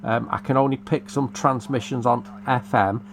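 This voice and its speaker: A middle-aged British man with a gravelly, creaky voice talks through his hobby gear. He is matter-of-fact and a little hesitant, explaining things off the cuff at an ordinary, slightly low-key register. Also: fairly even intonation, normal speed. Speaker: radio repairer presenting a hobby video. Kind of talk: unscripted show-and-tell narration.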